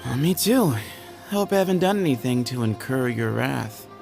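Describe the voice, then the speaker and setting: A man's voice: mid-range voice, lively intonation, moderate pace, creaky, music in the background. An actor playing a young man of the desert in a video game, breezy, teasing and evasive. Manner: playful, nonchalant, coy.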